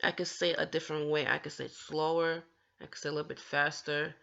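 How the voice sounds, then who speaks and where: A woman's voice: low voice, flat delivery, fast talker, creaky, fairly bright tone, lo-fi sound. A woman vlogger talking earnestly about her own stutter, with occasional stammered restarts as she encourages others. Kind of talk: personal talk to camera.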